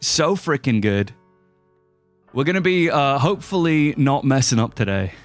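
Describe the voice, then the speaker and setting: A man's voice: mid-range voice, very animated, moderate pace, dry recording, faint music behind. A playful young man livestreaming a game, greeting chat with a goofy manner and a slightly stuffy nose. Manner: goofy, playful, silly mispronunciations and shifting voices. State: slightly sniffly, stuffy-nosed. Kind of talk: casual stream chat, greeting chat and playing a game.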